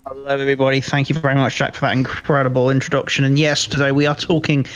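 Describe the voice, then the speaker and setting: A man's voice: mid-range voice, little pitch movement, quick pace, fairly bright tone, soft background music. A man co-hosting a film podcast in casual chat, rambling and often restarting his words. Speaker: podcast co-host discussing films.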